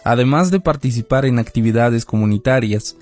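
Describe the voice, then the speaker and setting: A man reading a scripted radio report in a measured, even way. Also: mid-range voice, faint music behind. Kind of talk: scripted narration.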